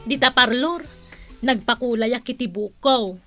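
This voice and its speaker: A woman's voice: on the high side, moderate pace, fairly bright tone, dry recording, soft background music, close to an everyday voice. An actress playing a bossy, vain woman in a radio comedy drama, insistent and nagging. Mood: coaxing, pleased. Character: bossy, vain woman hooked on Facebook likes.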